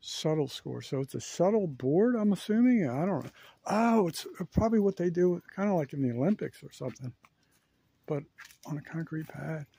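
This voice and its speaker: A man's voice: a little high, expressive, moderate pace, vocal fry, warm tone, breathy edge, no room sound. Chatty man on an outdoor walking tour. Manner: chatty, thinking aloud, many fillers. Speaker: vlogger giving a walking tour. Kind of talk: casual walking-tour narration. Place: outdoors at a wooded campground. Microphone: handheld camera while walking.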